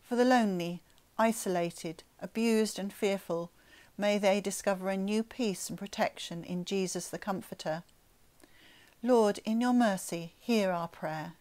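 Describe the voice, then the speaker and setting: A middle-aged woman with a British accent leading an online church service in a measured way. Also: mid-range voice, darkish tone. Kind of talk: leading prayers, reading intercessions. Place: living room at home.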